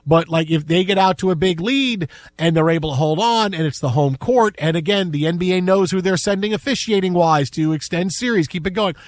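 A man hosting a sports talk show with casual, animated, teasing banter, often repeating his phrases. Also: sits high, a little fast, no room sound. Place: dry studio.

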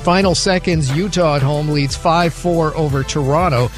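Guy doing sports headlines on radio, slightly high voice, brisk with dry jokes. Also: faint music behind. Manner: brisk scoreline reading with wry asides. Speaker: sports update anchor. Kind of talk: sports news roundup on radio.

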